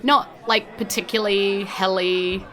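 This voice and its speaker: A woman with a New Zealand accent runs a tabletop game as game master. Her voice is bright and crisp-edged at a middling pitch, and she narrates in a casual, conversational style. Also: fairly even intonation, measured pace, low-quality recording.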